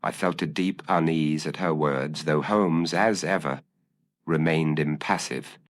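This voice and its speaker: A man's voice: extremely low pitch, unhurried. A man reading scripted narration of a gothic mystery story.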